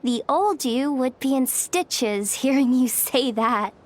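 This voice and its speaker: A woman's voice: high voice, animated, slow pace, a little hiss or hum. An actress playing a loyal, kind-hearted, streetwise young woman in a video game, speaking in an earnest, plucky, slightly guarded way. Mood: wistful. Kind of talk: dramatic video game voice acting.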